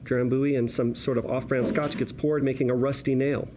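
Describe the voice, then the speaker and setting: A man's voice: mid-range voice, flat delivery, moderate pace. A man reading screenplay directions aloud as narration at a table read. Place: room with a group at a table read. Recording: noisy room ambience.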